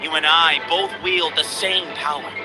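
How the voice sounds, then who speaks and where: Man acting earnest young desert duelist, high voice. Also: fairly even intonation, music in the background, natural voice, rough recording. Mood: reflective, sincere. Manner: solemn, deliberate declaiming. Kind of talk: dramatic English game voice acting.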